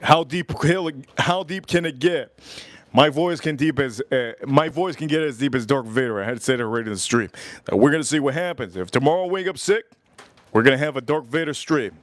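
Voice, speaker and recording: Guy livestreaming from florida, kinda sick, fired up shouting out viewers, dry close mic. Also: medium pitch, a little fast, no echo. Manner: animated, shouting out viewers' locations. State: getting sick. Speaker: livestream host. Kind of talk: casual livestream chat.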